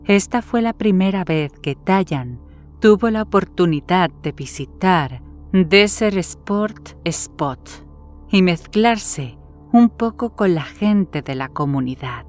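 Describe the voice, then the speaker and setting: A woman reading a horror story as a scripted audiobook narration. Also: fairly low pitch. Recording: background music under the voice. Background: music.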